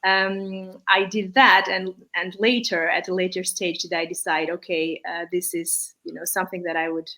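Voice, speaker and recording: A woman's voice: fairly low pitch, level tone, moderate pace, few pauses, sharp tone, rough recording. A woman from Armenia, an English speaker, giving conversational interview answers with hesitant, circling phrasing. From born in Armenia, raised partly in Malaysia. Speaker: interview guest, English teacher.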